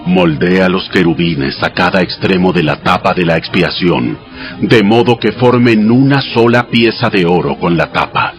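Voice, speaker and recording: A man playing God in a dramatized audio Bible, giving sacred instructions in a solemn, authoritative, measured way. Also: sits low.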